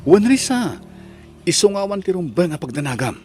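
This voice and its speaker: A man's voice: sits high, very animated, moderate pace, over loud music. A man with a Filipino accent playing a friendly, helpful young fellow student in an audio drama. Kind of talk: Ilocano audio drama acting.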